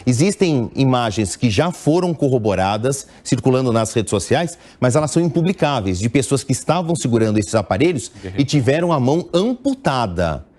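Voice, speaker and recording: A middle-aged man with a Brazilian Portuguese accent giving analytical news commentary as an international editor, measured and explanatory, with small restarts. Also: mid-range voice, big pitch swings, darkish tone.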